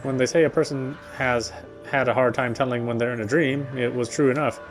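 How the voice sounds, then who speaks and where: A man's voice: mid-range voice, fairly even intonation, brisk, rough recording. A man streaming a visual novel, reading its story aloud and commenting casually. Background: faint game music.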